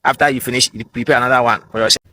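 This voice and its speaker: A Nigerian man with an Igbo first language vlogs outdoors on his smartphone. He talks fast and rambles, with a defiant edge, at a middle pitch. Faint ambient noise sits behind him. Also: steady tone, sharp tone. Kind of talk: phone vlog.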